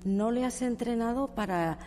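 A woman speaking as a panel guest, explaining in a measured way, with many fillers and tag questions. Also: a little high, darkish tone. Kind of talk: discussion panel. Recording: reverberant room with faint noise.

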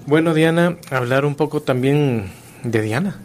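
A man's voice: on the high side, unhurried, darkish tone, slight background noise. A man interviewing a guest, asking questions and reading introductions in a formal way.

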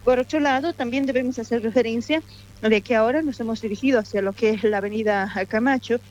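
A woman's voice: a little high, fast talker, a little mellow, lo-fi sound, soft background music. A woman with a Bolivian accent giving an improvised live news report as a field reporter, wordy and a little hesitant.

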